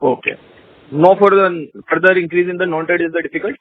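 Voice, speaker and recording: A man's voice: high voice, fairly even intonation, quick pace. A man with an Indian accent deferentially asking questions as a financial analyst on an earnings call. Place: phone call. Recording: dry, tinny phone line.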